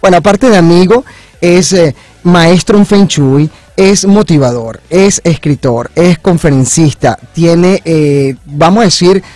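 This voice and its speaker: A male radio host with a higher-than-average voice conducts a conversational interview. He sounds enthusiastic and repeats phrases for emphasis. The studio sound is close and dry. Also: a little slow, darkish tone, no room sound. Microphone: studio microphone on boom arm, headphones.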